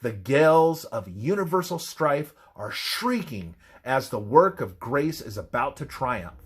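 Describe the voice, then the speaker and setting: A man reading scripted religious narration in a solemn, measured, emphatic manner. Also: medium pitch, big pitch swings, slightly rough.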